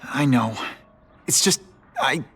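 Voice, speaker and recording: A man's voice: mid-range voice, expressive, slow, fairly bright tone, breathy edge, heavily acted. A young man playing a guilt-ridden student superhero in a video game, with a hesitant, conversational delivery. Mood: brooding. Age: young adult.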